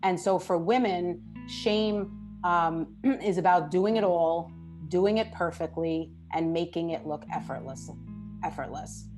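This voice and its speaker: A woman hosting and moderating a panel discussion in a measured way. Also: fairly low pitch. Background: plucked guitar music.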